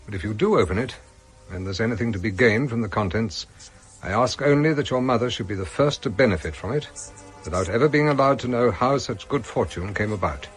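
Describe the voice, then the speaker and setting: A man's voice: on the low side, lively intonation, breathy edge, soft background music, poor audio. Solemn older English father. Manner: solemn, measured. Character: grave, honourable English father reading his letter. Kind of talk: letter read as radio drama voice-over.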